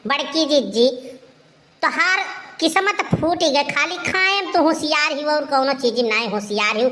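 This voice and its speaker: A woman's voice: high voice, moderate pace, fairly bright tone. A woman voicing a melodramatic, scolding village woman in a comedy cartoon, with an Awadhi accent from eastern Uttar Pradesh. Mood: teasing, urgent. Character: loud village women, wailing and scolding over a cheating husband.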